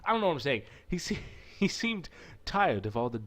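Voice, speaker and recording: A man's voice: fairly high pitch, very animated, normal speed. A man hosting a gaming video, reading a visual novel aloud in a casual way and dropping in playful, irreverent asides.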